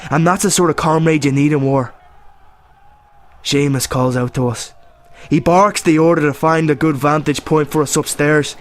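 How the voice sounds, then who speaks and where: An actor playing an eager, proud young Irish rebel volunteer, delivering a radio play monologue. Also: male voice, on the high side, a little hiss or hum, lightly acted.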